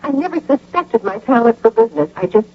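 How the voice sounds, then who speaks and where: A woman acting in a radio drama as an affectionate, contented, trusting career wife with a husky voice. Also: a little high, animated, fairly quick, faint music behind. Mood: happy, admiring. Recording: muffled old radio broadcast.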